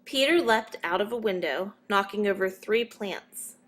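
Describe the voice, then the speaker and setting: A woman reading a children's story as a narrator, in a measured but expressive storytelling manner. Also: medium pitch. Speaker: storybook reader.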